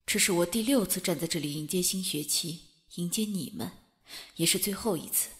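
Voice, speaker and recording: A woman's voice: lowish voice, a little fast, close to an everyday voice. A woman playing a sentimental school speaker giving a formal address in an amateur audio drama. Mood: sentimental, solemn.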